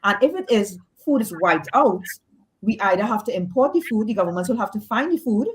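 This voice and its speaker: A woman's voice: medium pitch, animated, fairly quick. Trinidadian woman explaining her research. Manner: earnest, explanatory, with restarts. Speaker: guest researcher. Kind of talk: radio interview.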